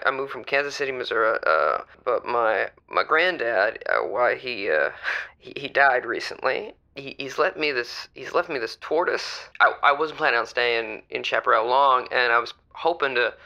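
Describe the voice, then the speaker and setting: A man's voice: medium pitch, animated, moderate pace, vocal fry, pushed and bright, exaggerated voice. A man playing a nervous, polite, folksy radio caller who stammers and hesitates over a dull caller line. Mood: nervous, troubled. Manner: hesitant, stammering, courtly wording. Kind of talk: improvised radio call-in drama.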